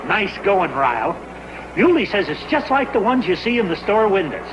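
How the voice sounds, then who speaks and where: An actor playing a breezy, self-satisfied coworker in a 1950s TV sitcom. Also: male voice, high voice, big pitch swings, normal speed, background noise. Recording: old TV soundtrack, loud music.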